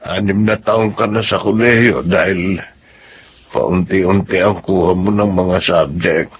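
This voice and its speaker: Deep, gravelly, apologetic Filipino father. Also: fairly even intonation, a little hiss or hum. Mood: apologetic, concerned. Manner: slow, apologetic. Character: apologetic, gentle middle-aged father of a student. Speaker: radio drama actor. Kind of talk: Filipino radio drama acting.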